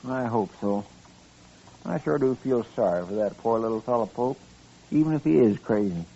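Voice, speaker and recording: A man's voice: medium pitch, lively intonation, normal speed, creaky, warm tone. A man playing a kindly, deferential deputy in an American radio western, speaking in a folksy manner. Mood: kindly, respectful. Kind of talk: 1950s radio western acting. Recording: muffled, noisy old-time radio recording.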